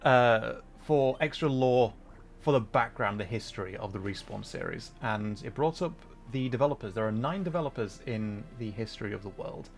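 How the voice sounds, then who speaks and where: A man hosting a gaming livestream, chatting casually and musing aloud in a halting way. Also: on the low side, lively intonation, gravelly. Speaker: livestream host.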